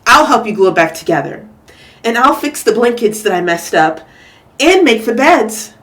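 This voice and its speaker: A woman's voice: fairly high pitch, big pitch swings, moderate pace, fairly bright tone, recorded from a distance. A woman voicing a sleepy, remorseful young girl in a storybook read-aloud, breathless and exclaiming. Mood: apologetic.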